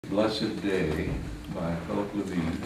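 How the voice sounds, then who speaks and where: A man reading poetry aloud in a measured recitation style. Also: sits low, fairly even intonation. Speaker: poetry reader. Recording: echoey distant room recording, clear noise.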